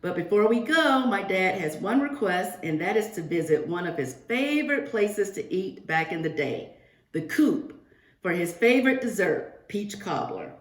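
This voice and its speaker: Casting: female storybook reader for young kids, medium pitch, expressive and direct, recorded with noticeable room echo. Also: moderate pace. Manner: expressive, addressing children directly. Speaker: guest picture-book reader for a school class. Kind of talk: read-aloud storytelling to young children. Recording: roomy, echoing virtual-reading audio.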